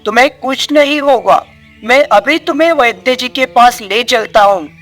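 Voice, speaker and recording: A man's voice: very high-pitched, normal speed, a bit bright, soft background music. A man playing a dutiful, compassionate royal minister in a moral story. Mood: concerned. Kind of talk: dramatised Hindi moral story.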